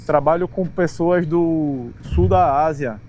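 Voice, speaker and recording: A Brazilian man on a sports talk panel, chatting casually with slangy words and halting restarts. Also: fairly high pitch, a little mellow, a little hiss or hum.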